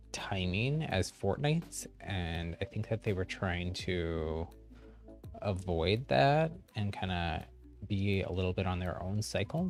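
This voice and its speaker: A man chatting casually on a game livestream, thinking aloud in a halting way. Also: fairly low pitch. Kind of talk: casual live gaming chat.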